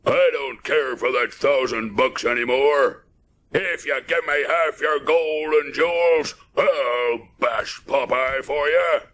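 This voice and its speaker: A man's voice: mid-range voice, steady tone, takes it slowly, a bit bright, voice pushed up. A man voicing a greedy, bullying brute, a scheming villain in a children's storybook.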